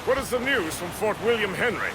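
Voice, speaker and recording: A tipsy, witty gentleman for a video game. His voice sits fairly high and swings animatedly through flowery phrases. Loud ambient noise surrounds him. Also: lively intonation, fairly quick. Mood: amused, chatty. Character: jovial tipsy gentleman companion, theatrical and witty.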